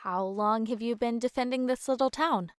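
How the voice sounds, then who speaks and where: A woman acts a sympathetic, friendly adventurer. Her voice is fairly high, recorded dry, in audio drama style. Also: moderate pace, smooth, no echo, lightly acted.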